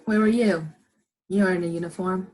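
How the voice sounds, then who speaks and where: A woman's voice: lowish voice, fairly even intonation, a little fast, darkish tone, lightly acted. A young woman playing a lost, despondent wanderer in an amateur radio play, speaking in a hesitant, subdued, questioning way. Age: young adult. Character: lost, despondent wanderer after an alien invasion. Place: living room. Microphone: headset on video call.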